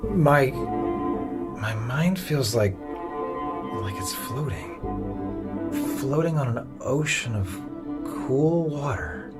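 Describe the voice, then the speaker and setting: A man's voice: mid-range voice, lively intonation, very slow, pauses often. An actor playing a jittery, bewildered man in an experiment, stammering and hesitant in audio drama style. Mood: dazed. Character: bewildered, jittery male test subject in an experiment. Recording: music bed under binaural drama voice.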